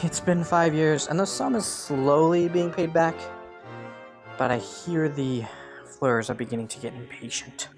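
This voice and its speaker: A man playing an ambitious young prince in a romantic fantasy audio roleplay, with formal, courtly phrasing and soft hesitations, scheming yet earnest. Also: on the high side, measured pace, over music. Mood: thoughtful.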